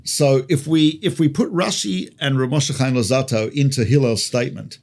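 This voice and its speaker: A man's voice: medium pitch, normal speed, gravelly, a little mellow. A man with an Australian accent, a rabbi teaching conversationally through stories and rhetorical questions. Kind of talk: conversational religious teaching with storytelling.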